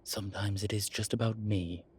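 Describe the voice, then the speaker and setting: A man playing a suave, wry incubus demon professor in an intimate audio roleplay, with a husky voice and hesitant pauses. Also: lowish voice, fairly even intonation, darkish tone, a little hiss or hum, close to an everyday voice. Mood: wry, defensive.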